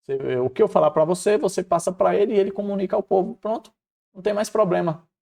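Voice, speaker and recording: A man's voice: sits high, fast talker, vocal fry, dark tone, pitched above the performer's own voice. A man with a Northeastern Brazilian accent voicing a reassuring, firm God who gives colloquial, matter-of-fact instructions in a Bible story. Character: God, male, patient but firm, reassuring problem-solver.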